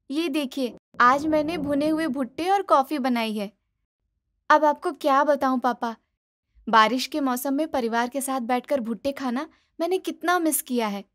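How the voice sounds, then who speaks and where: A woman acts out a young bride in a cartoon family story. Her voice is high, eager and expressive, made for coaxing her in-laws and sulking a little when hurt. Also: lightly acted. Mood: cheerful. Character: eager young daughter-in-law, cheerful, pleading, easily hurt. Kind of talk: animated moral story dialogue.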